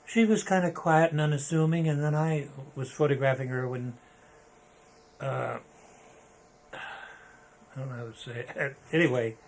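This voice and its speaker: An older American man reminiscing informally in an interview, halting as he thinks aloud. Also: medium pitch, fairly even intonation. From United States. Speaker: interviewee, retired Hollywood photographer. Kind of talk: reminiscing in an oral history interview. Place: photographer's studio, indoors. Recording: noisy archival interview with room ambience.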